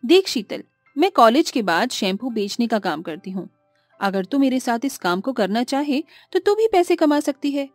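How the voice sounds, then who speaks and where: A woman voicing a greedy, scheming young college friend in an animated moral story. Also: a little high, normal speed, dry recording, soft background music. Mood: businesslike.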